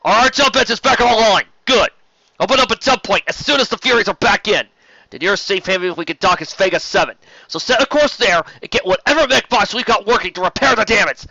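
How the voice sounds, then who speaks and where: A man's voice: sits high, brisk, sharp tone, no room sound, highs cut off, pitched above the performer's own voice. A man voicing a hard-bitten warship captain, barking commands in a science fiction story. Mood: urgent, barking. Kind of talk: dramatic audiobook-style fan fiction reading.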